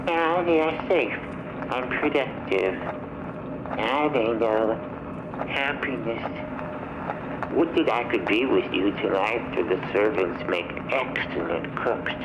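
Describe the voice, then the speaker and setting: An actor playing a pompous, falsely gracious zealot inventor in a video game, preaching grandly to noble guests in archaic thee-and-thy speech. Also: male voice, medium pitch, slow, close to an everyday voice. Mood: smug, unctuous. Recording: dull, noisy, radio-like playback of a recorded message.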